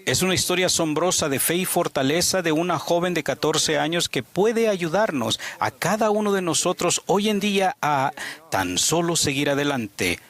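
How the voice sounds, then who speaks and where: A man's voice: on the high side, expressive, normal speed, a bit bright. A man interpreting a sermon, telling a story with an earnest delivery.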